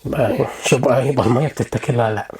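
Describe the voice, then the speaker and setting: Man, Egyptian accent, average pitch, quick, emphatic, boastful, rhetorical questions. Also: fairly even intonation, a little mellow. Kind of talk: mock-serious informal explanation.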